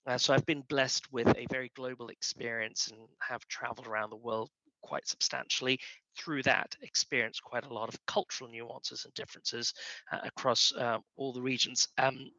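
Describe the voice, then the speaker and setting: A man's voice: mid-range voice, level tone, moderate pace, sharp tone, dry recording, lo-fi sound. A middle-aged man from Malaysia speaking earnestly and emphatically as a panelist, reflecting on personal experience.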